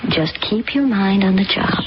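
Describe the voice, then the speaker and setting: An actress playing a brisk, scheming young woman in a radio drama, talking crisply and bossily. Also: mid-range voice, animated, natural voice. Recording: dull old radio recording with hiss.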